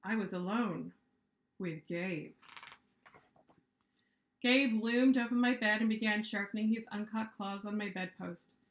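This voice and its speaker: A woman's voice: medium pitch, measured pace, long pauses. Woman reading a storybook, echoey room. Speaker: author reading aloud. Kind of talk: expressive children's storybook narration.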